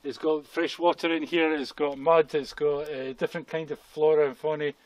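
A man's voice: fairly high pitch, level tone, moderate pace, a little mellow, dry recording. A man in his fifties giving an informal, explanatory talk as a geology guide in the field, repeating phrases for emphasis. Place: outdoors at a quarry rock face. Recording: clearly noisy outdoor recording with clicks.

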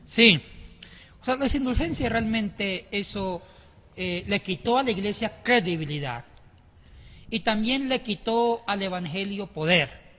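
Middle aged guy explaining religion on a panel, kinda high voice, keeps restarting, lots of reverb. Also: expressive, a little slow, bright, forceful tone. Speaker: panel guest explaining doctrine. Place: wood-panelled indoor studio or meeting room. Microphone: handheld microphone. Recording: very echoey room.

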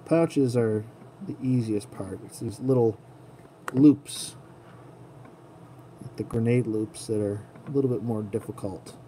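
Male hobby host, medium pitch, casual tutorial delivery, muttering along as he works, noticeable room noise. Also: takes it slowly, long pauses, warm tone. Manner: murmurs to himself while working with his hands. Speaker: toy collector host. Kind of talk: casual hands-on tutorial commentary. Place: indoor tabletop setup. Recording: clearly noisy room ambience.

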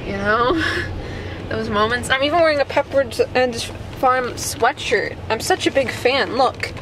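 A woman's voice: fairly high pitch, a little slow. Teen girl mourning a snack shop in mock tragedy. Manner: deadpan mock-tragic, rambling with many "you know"s. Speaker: vlogger. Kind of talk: mock-dramatic vlog. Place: car interior, then a store. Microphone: handheld camera at arm's length. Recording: loud background noise from car and store.

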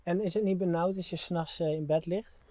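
Mellow-voiced Dutch host, eager. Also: male voice, sits high, steady tone, brisk, no echo. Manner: enthusiastic, curious questions, playful. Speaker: host and interviewer. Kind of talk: casual interview. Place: inside a small camper van.

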